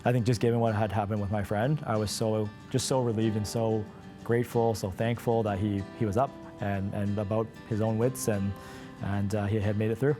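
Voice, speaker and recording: A man's voice: on the low side, flat delivery, fairly quick, music in the background. A man speaking candidly as an interview guest, in an earnest, conversational way. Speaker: interview guest, patient's brother. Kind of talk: candid personal storytelling in an interview.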